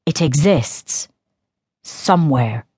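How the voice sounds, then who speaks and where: An earnest, guarded young brother, read by a woman in her own low voice. He speaks quietly and slowly, in a deep, dry, close sound. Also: animated, breathy edge, no echo, exaggerated voice.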